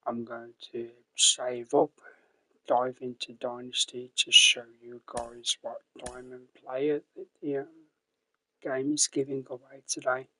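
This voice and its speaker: A man streaming a video game, talking casually in a croaky early-morning voice, quiet and halting, often dropping to a whisper. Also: medium pitch, completely flat delivery, poor audio. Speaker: gaming streamer. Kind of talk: casual live commentary over gameplay.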